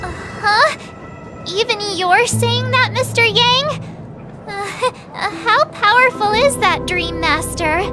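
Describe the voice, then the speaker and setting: A woman voicing a playful, expressive teenage girl adventurer in an anime-style video game. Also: very high-pitched, slow pace. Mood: worried, curious. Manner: bubbly, teasing, expressive. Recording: loud music under the voice.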